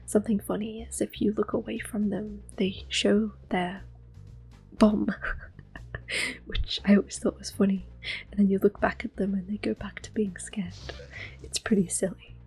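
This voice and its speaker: A woman whispering gently and unhurriedly in a soft, breathy voice, commentating a game in ASMR style up close to the microphone. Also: medium pitch, level tone, faint music behind, poor audio. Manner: gentle, unhurried, softly spoken. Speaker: ASMR gaming host.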